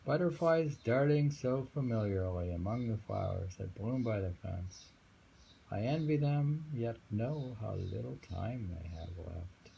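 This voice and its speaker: A middle-aged man with a low, creaky voice reads poetry and muses on it in casual asides. His delivery is very unhurried and halting, full of little hums and pauses. It is an amateur phone recording with faint background noise. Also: animated, warm tone, poor audio. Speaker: poetry reader. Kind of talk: reading short poems aloud with casual commentary. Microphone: handheld phone.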